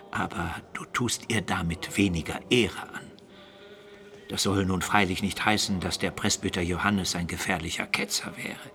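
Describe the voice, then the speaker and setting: Gravelly, frail old bishop. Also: male voice, on the low side, sharp tone, heavily acted. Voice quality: gravelly, breathy, often dropping to a whisper. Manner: measured, didactic, coughing. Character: elderly sickly medieval bishop, learned, wry, fatherly. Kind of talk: radio play acting.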